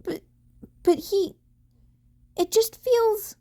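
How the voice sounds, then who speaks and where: Brief: female reader plays awkward teen boy vigilante, pitched high, tentative and worn down. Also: extremely slow, mellow, full character voice. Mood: hesitant, flustered. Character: weary, hesitant teenage boy vigilante.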